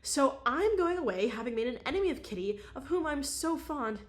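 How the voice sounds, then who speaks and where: A woman reading aloud, voicing an emotional young society woman with a high, excitable voice. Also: a little fast. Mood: agitated.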